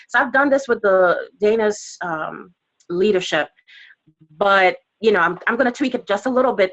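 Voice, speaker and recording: A woman with a Trinidadian accent coaches a sales team over a webinar in an energetic, chatty way. She fills her talk with "you know" and acts out little quotes. Her voice sits at a middle pitch, and the call audio is slightly dull. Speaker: guest trainer on a team webinar.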